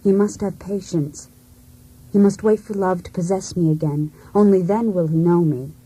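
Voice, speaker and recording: An actress playing a disillusioned wife in a poetic radio drama, speaking in a measured, introspective, theatrical manner. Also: female voice, fairly low pitch, steady tone, warm tone, husky, low-quality recording. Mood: yearning, resolute. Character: disillusioned, cool, self-searching wife in a failed marriage. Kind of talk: poetic monologue in a radio play. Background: music.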